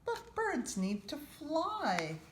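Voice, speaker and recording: Storybook mother, read by a woman: patient, gently probing, unhurried, roomy recording with faint noise. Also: high voice, wildly swooping pitch, pitched above the performer's own voice, heavily acted. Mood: gently doubting. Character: gently questioning, patient mother of a young boy. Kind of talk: children's storybook read-aloud.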